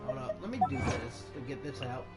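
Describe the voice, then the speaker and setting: A streamer talks casually while playing a game, in a medium-pitched voice. The remarks are muttered asides, with loud game music behind them. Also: wildly swooping pitch, brisk. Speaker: gameplay streamer. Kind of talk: casual gameplay commentary. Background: loud video game music.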